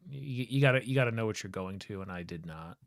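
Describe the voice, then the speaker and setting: Gravelly low-voiced male streamer. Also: expressive, no echo, studio quality. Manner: halting, self-deprecating, many restarts. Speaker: streamer host. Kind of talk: casual chat.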